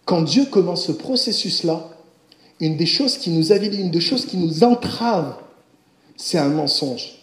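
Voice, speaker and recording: A man preaching a sermon, speaking directly to his congregation in an animated way. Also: a little high, measured pace, reverberant. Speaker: pastor.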